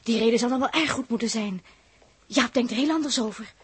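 A concerned female crew member in a 1960s radio play. Her voice is somewhat high with a very rough edge, close and dry, reporting what she observes. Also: fairly quick, dry recording. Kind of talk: 1960s radio drama acting.

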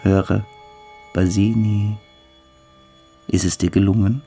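Slow, creaky-voiced fanatical young cadet. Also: male voice, on the low side, level tone, mellow, soft background music. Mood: insistent. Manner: slow, hypnotic commands, sinking into whispers. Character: fanatical young cadet, cruel, commanding amateur hypnotist. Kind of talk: radio play acting.

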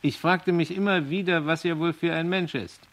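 A man playing a reflective investigator, reporting in a measured, formal way in a radio drama. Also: on the high side, steady tone, a bit bright. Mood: pensive, factual.

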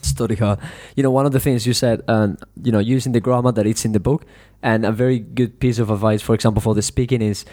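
Man, podcast host, mellow dark tone, relaxed, conversational. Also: fairly low pitch, fast, few pauses. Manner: relaxed, many "you know" fillers. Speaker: podcast host, English teacher. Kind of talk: casual interview chat.

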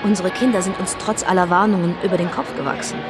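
A woman's voice: on the low side, fairly even intonation, fast, lightly acted. Woman as a weary, unyielding mother over music. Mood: stern, weary. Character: weary, unyielding mother scolding her children. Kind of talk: stylised radio drama.

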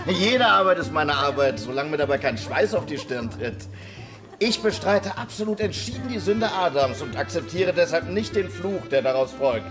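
A flamboyant pimp who fancies himself a saint, acted by a man for a radio play. His voice sits high and he talks in an expansive, theatrical, amused way. Music plays strongly behind him. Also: lively intonation, moderate pace, natural voice. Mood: boastful, amused. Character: flamboyant roguish pimp and street performer, grandly self-styled saint. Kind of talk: German radio drama acting. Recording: music under the voice.

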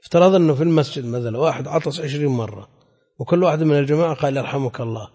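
In a reverberant hall, a man gives an explanatory religious lecture. His voice is mellow and husky, at a medium pitch. He teaches conversationally, often turning to pose questions to his class. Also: rapid-fire. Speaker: Islamic scholar teaching a hadith class. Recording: echoey hall with long reverb.

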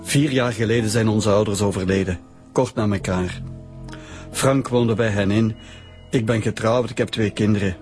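A man's voice: lowish voice, fairly even intonation, normal speed, darkish tone, soft background music, natural voice. An actor playing a plain-spoken, aggrieved married man with a Flemish accent in a radio drama. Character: resentful married brother of a dead man.

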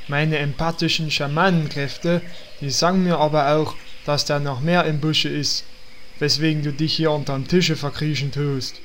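A man's voice: fairly high pitch, little pitch movement, moderate pace, a bit bright, a little hiss or hum, lightly acted. A man playing a childlike, easily distracted orc shaman in a parody audio drama, with simple-minded, clumsy talk. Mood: earnest.

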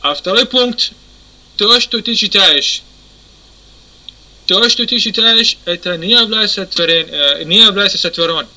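A man teaching a religious lesson. He pauses often, corrects himself, and keeps asking if his listeners understand. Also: high voice, sharp tone, poor audio. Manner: halting, many fillers and self-corrections. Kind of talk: explanatory lesson.

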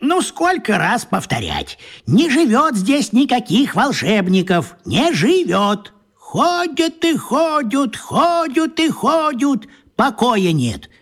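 An actor playing a grumbling, self-important pot-bellied man in a radio play, with a husky voice. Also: very high voice, huge pitch swings, takes it slowly, a bit bright, heavily acted. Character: grumpy pot-bellied man in pajamas, proud veteran.

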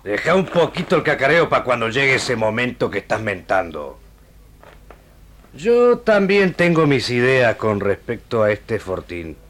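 An actor playing a sly, cocky gaucho villain with a rural Argentine accent and a taunting, folksy way of talking in an old radio drama. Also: male voice, medium pitch, sing-song, measured pace, fairly bright tone. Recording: noisy old radio archive tape.

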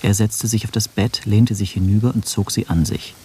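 Actor as a low-voiced brooding man narrator. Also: steady tone, moderate pace, mellow, a little hiss or hum, natural voice. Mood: measured, brooding. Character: anxious, scheming male first-person narrator, brooding over suspicions. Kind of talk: German radio play narration, inner monologue.

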